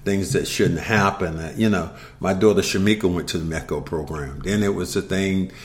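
A man's voice: deep voice, normal speed. Older man telling childhood stories. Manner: storytelling, animated when quoting others. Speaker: podcast co-host. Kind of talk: casual conversation.

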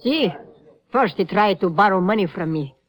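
A man's voice: high voice, lively intonation, normal speed, a bit bright. A man playing a wary, friendly Mexican farm worker in broken English, in a 1950s radio drama style. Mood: explaining.

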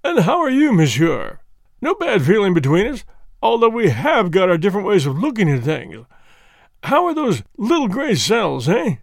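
A man's voice: high voice, wildly swooping pitch, moderate pace, gravelly, no room sound, pitched above the performer's own voice, heavily acted. A man reading the part of a breezy, chummy middle-aged police inspector who is mildly condescending.

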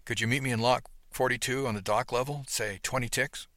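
A man's voice: medium pitch, steady tone, brisk, a bit bright, no echo, pitched above the performer's own voice, close to an everyday voice. Man acting a blustering, flustered middle-aged manager. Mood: flustered, stammering. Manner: stammering, brusque, condescending.

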